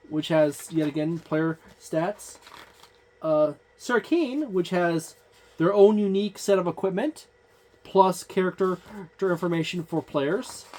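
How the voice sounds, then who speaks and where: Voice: mid-range voice, a little mellow. Casual hobby reviewer, unscripted listing delivery, lots of fillers and self-corrections. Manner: halting, frequent "uh" fillers and restarts while listing entries; offhand, informal wording. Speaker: tabletop game book reviewer. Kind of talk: casual page-by-page book overview.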